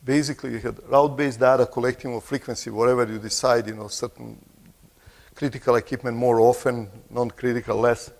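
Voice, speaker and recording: Gravelly-voiced Croatian man presenting in a hall. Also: medium pitch, steady tone, a little mellow. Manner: halting, restarts phrases, clears throat often. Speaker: conference presenter. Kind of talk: conference presentation. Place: large conference hall. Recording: long hall reverb.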